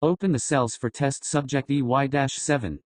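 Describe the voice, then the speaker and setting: A commanding, callous research boss played by a man in a scripted audio drama. The voice is medium in pitch and very dry, with a scornful manner. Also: moderate pace, no echo, natural voice. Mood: gloating, commanding. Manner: commanding, contemptuous.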